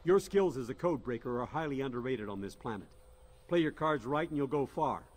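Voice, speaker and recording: An actor playing a gruff, stern military commander in a video game, blunt and commanding. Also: male voice, medium pitch, animated, a little fast, natural voice.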